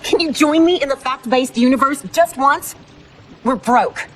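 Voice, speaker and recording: An actress playing a blunt, exasperated wife in a video game drama, pointed and sarcastic. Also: female voice, high voice, a little slow, slight background noise. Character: exasperated, blunt wife of a fading movie star. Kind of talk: cinematic video game acting.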